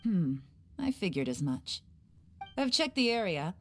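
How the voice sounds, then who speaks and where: A woman's voice: fairly low pitch, big pitch swings, unhurried, fairly bright tone, heavily acted. A woman voicing a composed, teasing female spy with a wry, slightly menacing manner in a game cutscene. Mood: sly. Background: game music.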